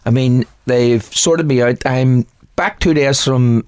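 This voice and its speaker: A man's voice: mid-range voice, slow pace, darkish tone, dry recording, clean studio sound. A man, a cheerful guest chatting enthusiastically and laughing easily. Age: 60s. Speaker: guest, the host's father. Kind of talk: casual chat.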